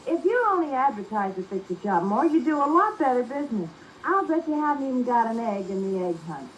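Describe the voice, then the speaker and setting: High-voiced chiding young girlfriend. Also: a little fast. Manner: chiding, expressive delivery. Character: nagging, fond young girlfriend, exasperated but caring. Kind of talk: 1940s radio comedy acting. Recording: muffled, noisy old radio recording.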